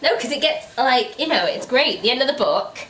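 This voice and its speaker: Animated, giggly British woman, roomy sound. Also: high-pitched, moderate pace, slight background noise. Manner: giggly, incredulous, sweary. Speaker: host of a book review show. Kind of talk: casual comedic book chat. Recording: roomy echo, distant mic.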